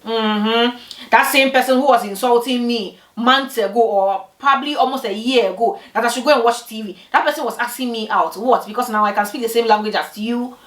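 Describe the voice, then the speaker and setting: A young woman from Ghana telling personal stories to camera in a lively way, acting out what other people said. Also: fairly quick. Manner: animated, acts out quoted people with big pitch jumps. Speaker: vlogger. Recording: echoey room, voice from a distance.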